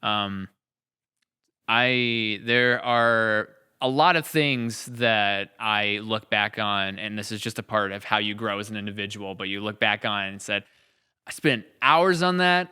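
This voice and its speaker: Bright, clear-voiced man chatting on a podcast. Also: lowish voice, measured pace. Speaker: podcast host and audio drama series creator. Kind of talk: casual reflective podcast conversation.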